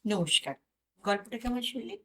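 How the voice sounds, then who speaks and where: A woman, reading a comic story aloud as an expressive narrator. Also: mid-range voice, normal speed. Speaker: audio story narrator and channel host.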